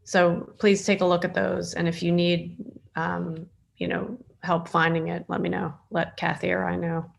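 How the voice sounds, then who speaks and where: Female board chair, heavy vocal fry, flat intonation, halting informal delivery on a video call. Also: lowish voice, slight background noise. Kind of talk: informal meeting remarks over video call. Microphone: video call microphone.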